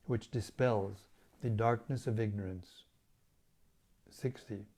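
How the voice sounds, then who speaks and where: A man with a hoarse, failing voice reading scripture and reflecting on it as a spiritual teacher, in measured devotional tones. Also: medium pitch, warm tone. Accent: British English. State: losing his voice, hoarse.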